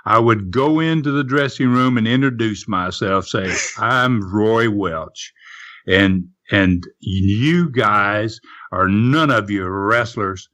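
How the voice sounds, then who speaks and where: A tough old-time wrestling promoter, acted out by a man telling a story, speaks in a lowered voice. He is stern, blunt and full of menace. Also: takes it slowly, a bit bright, muffled recording. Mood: threatening.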